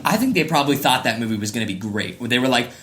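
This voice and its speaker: A man's voice: mid-range voice, lively intonation, quick pace, fairly bright tone. A man hosting a podcast, chatting casually and emphatically about pop culture with strong opinions. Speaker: podcast host.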